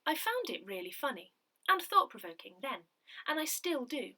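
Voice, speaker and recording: Woman, higher pitch, very bright tone, expressive scripted narration, measured reading. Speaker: newsletter reader. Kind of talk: scripted narration of a church newsletter.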